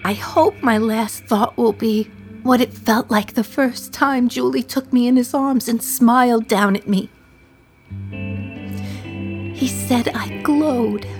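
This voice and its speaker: A condemned wistful wife. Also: female voice, on the high side, faint music behind. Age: 30s. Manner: slow reflective delivery, wry humor. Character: condemned woman in her thirties, wistful, defiant, wry. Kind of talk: radio play monologue.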